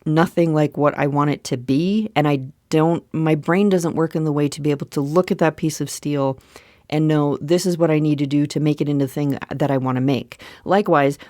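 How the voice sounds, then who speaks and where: A woman's voice: deep voice, steady tone, fairly quick, mellow, dry recording, studio quality. A woman with a Minnesota accent hosting her own podcast in an upbeat, chatty way, often restarting her sentences. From central Minnesota. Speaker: podcast host. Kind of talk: solo podcast chat.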